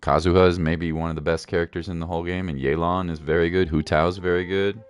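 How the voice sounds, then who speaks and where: Low-voiced man streaming a game. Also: little pitch movement, fast, a little hiss or hum. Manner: laid-back, thinks aloud. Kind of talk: casual live stream chat. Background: faint game music.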